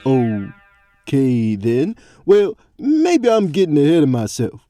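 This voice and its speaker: Man as a folksy, higher-voiced priest exorcist. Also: very animated, takes it slowly, warm tone, faint music behind. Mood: practical. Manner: casual, colloquial. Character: laid-back, folksy adventuring priest exorcist. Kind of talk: fantasy audio drama acting.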